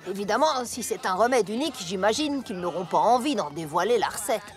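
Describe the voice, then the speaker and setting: A woman's voice: medium pitch, lively intonation, fairly quick, lightly acted. A woman voicing a sensible, skeptical young farm pony, frank and protective, in a French-dubbed children's cartoon. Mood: determined. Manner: frank, doubtful. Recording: loud music under the voice.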